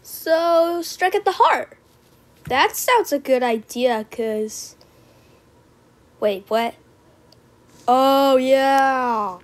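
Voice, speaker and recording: A woman performing homemade character lines as an amateur voice actor, theatrical, with gasps and drawn-out exclamations. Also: sits high, expressive. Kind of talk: homemade character voice lines, animated movie remake.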